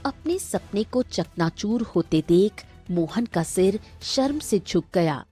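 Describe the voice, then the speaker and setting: Woman storyteller, slow pace, husky edge, scripted children's tale narration. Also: mid-range voice, lively intonation, warm tone, faint music behind.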